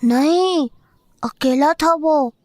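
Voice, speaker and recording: Woman as a high-pitched, insistent little boy. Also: extremely slow, no room sound. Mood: innocent. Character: young boy Ishaan, insistent, imaginative son. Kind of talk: audio horror drama acting.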